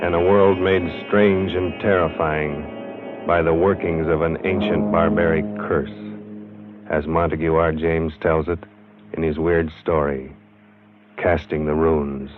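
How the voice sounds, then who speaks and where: A man's voice: extremely low pitch, flat delivery, measured pace, over loud music. A man announcing a radio show with ominous, scripted 1940s-style narration. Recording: dull lo-fi vintage radio recording.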